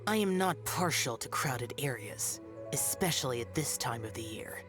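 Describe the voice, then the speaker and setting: An actor playing an aloof young immortal guardian in a video game, speaking in a formal, curt and solemn manner. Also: male voice, medium pitch, expressive, moderate pace, lightly acted. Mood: reserved. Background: game music.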